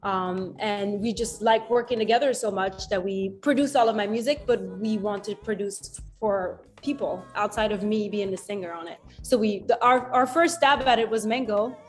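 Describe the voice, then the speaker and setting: A woman's voice: medium pitch, moderate pace, hardly any pauses, faint music behind. A woman, a musician answering interview questions in a reflective way, laughing easily and often restarting her sentences. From France. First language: French. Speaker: musician guest.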